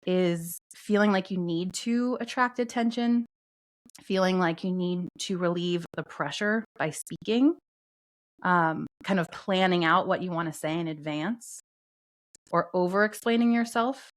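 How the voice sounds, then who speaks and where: Woman, podcast co-host, somewhat low pitch, calm, explanatory, steady teaching tone. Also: unhurried. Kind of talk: explaining astrology and human design concepts.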